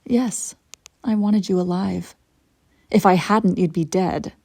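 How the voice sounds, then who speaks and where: A woman playing an imperious evil empress in an audio roleplay, coolly seductive and menacing, with theatrical, deliberate phrasing. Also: on the low side, gravelly, a little mellow, no echo, full character voice. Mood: taunting, intimate. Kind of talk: scripted audio roleplay acting.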